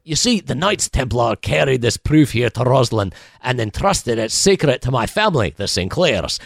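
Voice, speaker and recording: Radio-play Scottish monk: gruff, boastful, crafty, highly animated delivery, dry studio sound. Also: male voice, normal speed, no room sound. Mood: grandly revealing. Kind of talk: 1940s-style radio play acting.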